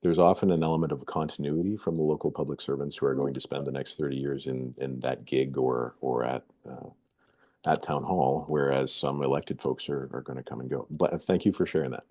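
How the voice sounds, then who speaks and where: A man's voice: extremely low pitch, steady tone, fairly quick, a little mellow. A man hosting a webinar, introducing each guest with a playful game-show flourish. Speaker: webinar host. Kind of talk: moderating a webinar.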